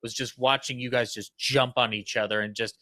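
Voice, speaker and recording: A man's voice: mid-range voice, fairly even intonation, fairly quick, bright, forceful tone, dry recording. A man hosting a casual panel chat, speaking in an enthusiastic, effusive way. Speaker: host and producer of a radio show recreation.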